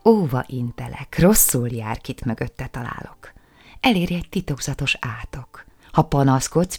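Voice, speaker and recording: A person reciting a poem dramatically, in a husky, gravelly, breathy voice, with menacing, deliberate delivery and hushed phrases. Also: mid-range voice, no echo.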